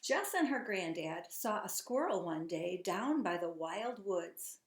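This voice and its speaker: A woman's voice: fairly low pitch, lively intonation, unhurried. Encouraging woman teacher in an echoey room. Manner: encouraging, asks listeners questions. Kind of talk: children's storybook read-aloud with questions.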